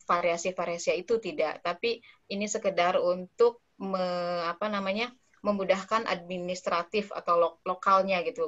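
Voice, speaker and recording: Woman, researcher, relaxed and chatty, lots of fillers, slightly dull call audio. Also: fairly low pitch. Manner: relaxed, chatty, many fillers and tag words. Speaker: invited speaker, dissertation researcher. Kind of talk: casual online discussion. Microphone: online call audio.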